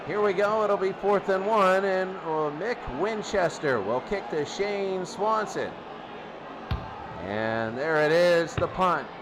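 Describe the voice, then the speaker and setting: A man's voice: high-pitched, expressive, takes it slowly, background noise. A man doing live football play-by-play in short, repetitive sentences, as the announcer. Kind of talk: live play-by-play sports commentary.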